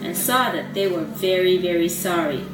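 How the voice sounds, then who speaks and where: A woman narrating a puppet show story in a simple, expressive, child-directed way. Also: on the low side, moderate pace, distant mic. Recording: roomy sound with music behind.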